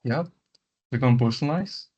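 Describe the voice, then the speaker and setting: Muffled man leading a software walkthrough. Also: medium pitch, normal speed, breathy edge. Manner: instructional, repeats words and instructions. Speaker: software trainer leading a session. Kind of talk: step-by-step online software walkthrough. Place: online meeting call. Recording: muffled lo-fi online meeting audio.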